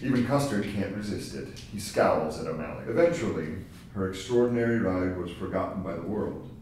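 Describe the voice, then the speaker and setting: Deep-voiced male narrator, very echoey room. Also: lively intonation, brisk, darkish tone. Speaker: stage directions narrator. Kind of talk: scripted screenplay narration at table reading. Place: large echoey room, live table reading. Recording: very echoey, distant mic, faint noise.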